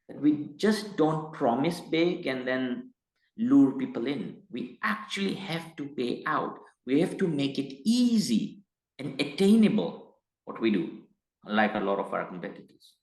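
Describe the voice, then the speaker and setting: A middle-aged man from Tanzania, speaking as an interview guest in a measured, hesitant way that turns emphatic. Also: mid-range voice, sing-song, a little mellow. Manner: measured, hesitant with frequent uh fillers, grows emphatic. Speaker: guest, company executive. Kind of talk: interview conversation. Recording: roomy echo.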